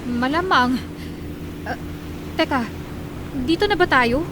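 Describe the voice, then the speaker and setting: An actress with a Filipino accent playing a bold, emotional young daughter in a radio drama. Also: female voice, high voice, takes it slowly, background noise. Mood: casual.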